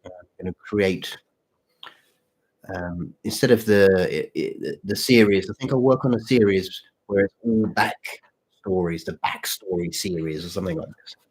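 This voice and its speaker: Husky, low-voiced man chatting casually. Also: darkish tone, low-quality recording. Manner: halting, repeats phrases. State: mild sore throat, voice deeper than usual. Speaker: channel host and story writer. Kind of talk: casual livestream chat with viewers.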